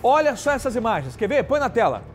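A man's voice: extremely high pitch, very animated, fast talker, soft background music. A man from anchoring a crime news show, talking emphatically and indignantly straight to viewers. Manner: indignant, repeats and addresses viewers directly. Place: TV studio.

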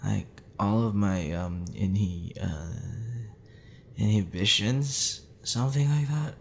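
A man's voice: lowish voice, a little hiss or hum. A man playing a drunk, flustered young man with a tender streak, stammering and rambling in an intimate ASMR roleplay. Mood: nervous, rambling. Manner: stammering, halting, tipsy rambling with breathy sighs.

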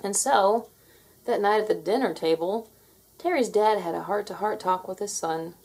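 A woman reading a children's story aloud in an expressive, scripted narrating style. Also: mid-range voice, normal speed, husky, rough recording. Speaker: storybook reader.

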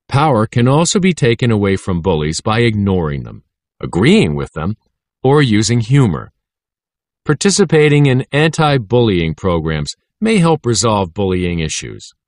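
An American man reading an educational text aloud as a narrator, clearly enunciated and instructive. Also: on the low side, dry recording, studio quality. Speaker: audiobook narrator.

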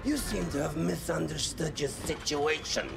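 An actor playing a menacing, mock-polite interrogator with a gravelly voice in a video game cutscene. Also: male voice, a little high, moderate pace. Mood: smug, menacing. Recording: clear noise behind the voice.

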